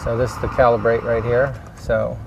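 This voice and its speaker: A man with a gravelly, fry-edged voice at an average pitch walks through a hands-on job. His delivery is casual and plainspoken, like a home DIY video. Clear background noise from around a vehicle sits behind his voice. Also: flat delivery, moderate pace. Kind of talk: casual hands-on how-to narration.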